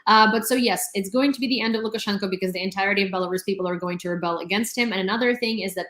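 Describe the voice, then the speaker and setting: A woman's voice: medium pitch, rapid-fire, fairly bright tone. A young woman hosting a live news stream, chatty and sarcastic, with many fillers. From Ukraine. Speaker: livestream news host. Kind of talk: casual live commentary. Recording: roomy echo.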